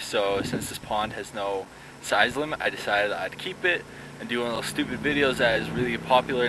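Young guy rambling on while fishing outside, camera at arm's length, faint outdoor hum. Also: medium pitch. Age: young adult. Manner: chatty, rambling asides. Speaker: vlogger. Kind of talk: casual fishing vlog. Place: outdoors by a pond. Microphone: camera held at arm's length. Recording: faint outdoor background noise.

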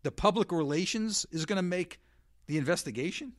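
A man's voice: sits high, lively intonation, fairly quick, no room sound, studio quality. Sarcastic man hosting a comedy interview. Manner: wisecracking, sarcastic. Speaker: host.

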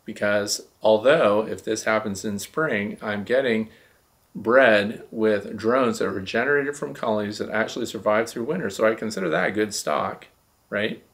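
Gravelly low-voiced older American man. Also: moderate pace, fairly bright tone. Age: 60s. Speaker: beekeeper host of a Q&A show. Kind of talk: casual explanatory talk to camera. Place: small indoor room, seated at a desk.

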